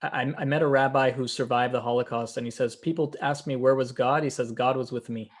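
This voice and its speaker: A man, a rabbi, hosting an interview and speaking in a gentle, appreciative way. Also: medium pitch, fairly even intonation, fairly quick. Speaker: rabbi and host. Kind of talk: interview conversation.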